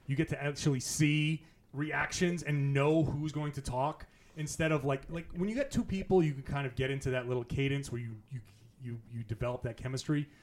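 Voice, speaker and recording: A man hosting a podcast, talking in an easy, chatty way at a middle pitch. He laughs easily and often starts a sentence over. It is a relaxed in-person conversation. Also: fairly quick. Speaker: podcast host. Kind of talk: casual podcast chat. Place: in-person recording in Las Vegas.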